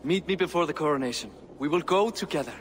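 A man playing a dignified, shrewd middle-aged Viking king in a game cutscene, speaking formally and deliberately. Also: on the high side, animated, big-room sound, slight background noise, close to an everyday voice. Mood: earnest, calculating. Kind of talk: dramatic video game cutscene acting.

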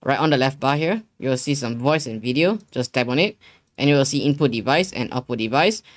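A young man talks a viewer through software settings in a casual, halting way. His mid-range voice circles back over phrases as he explains each step. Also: medium pitch, lively intonation. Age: young adult. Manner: repetitive, halting explanations with non-native English phrasing. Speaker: tutorial host. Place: living room gaming setup at home.

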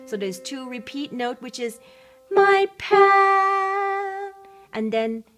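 A woman teaching a keyboard and singing lesson, giving slow, patient instructions and singing solfege syllables and song phrases. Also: extremely high pitch, sing-song, a bit bright, soft background music. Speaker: music teacher. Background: keyboard playing.

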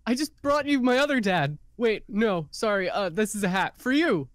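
A woman's voice: mid-range voice, expressive, normal speed, dry recording, clean studio sound, close to an everyday voice. A woman voicing an eager, naive young cartoon soldier, earnest and hesitant, improvised for a comedy fan dub. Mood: awkward, earnest.

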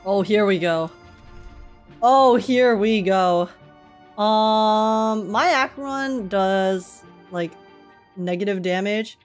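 A young woman livestreaming a playful, slangy, excitable reaction to a game. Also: medium pitch, slow, soft background music. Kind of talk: casual livestream reaction commentary.

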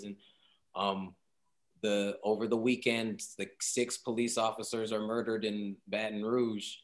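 A young man from Seattle, a guest artist, talking in a soft, reflective way with many fillers on a video call interview. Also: lowish voice. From Seattle, Washington. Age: young adult. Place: home room, indoors.

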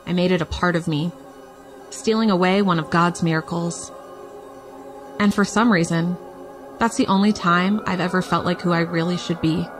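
A woman's voice: lowish voice, steady tone, normal speed, pauses often, soft background music, natural voice. A woman playing a wistful, foul-mouthed fighter in audio fiction, speaking in a confessional, blunt way.

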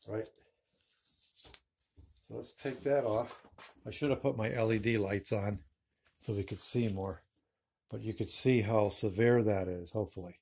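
A middle-aged man with a husky, slightly low voice talks casually through a woodworking demonstration. He rambles and corrects himself as he goes, speaking to a phone camera at arm's length in his home woodshop. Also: a little mellow. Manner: rambling, chatty, self-correcting. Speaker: woodworking channel host.

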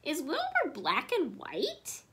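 A woman voicing an excitable, gullible elephant from a storybook herd in an emphatic, exclaiming way. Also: very high voice, slow, voice pushed up, heavily acted. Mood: earnest.

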